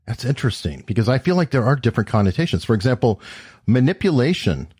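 A man's voice: mid-range voice, very animated, fast talker, darkish tone, slightly rough, no room sound. A man hosting a podcast, introducing his guest and asking questions in an upbeat, enthusiastic way. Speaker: podcast host. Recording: dry close-mic sound.

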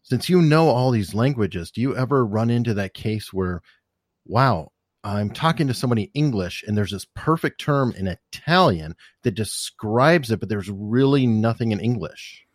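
A man's voice: lowish voice, animated, normal speed, no echo, clean studio sound. A man hosting a conversational interview, musing aloud with curiosity as he frames his questions. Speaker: interview host.

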